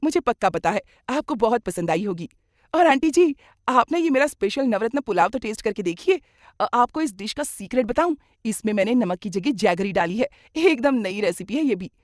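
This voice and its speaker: A woman's voice: a little high, expressive, moderate pace, dry recording, clean studio sound, pitched above the performer's own voice, close to an everyday voice. A woman voicing a cheerful, eager young daughter-in-law who gushes and insists, in a moral cartoon story. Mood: eager, insistent. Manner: gushing, insistent.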